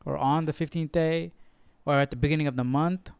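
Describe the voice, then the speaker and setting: Smooth-voiced man chatting casually, close and dry. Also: a little high, steady tone, a little fast, darkish tone, dry recording. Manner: informal, musing, repeats himself. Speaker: host of a Bible study livestream. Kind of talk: casual chat, thinking aloud.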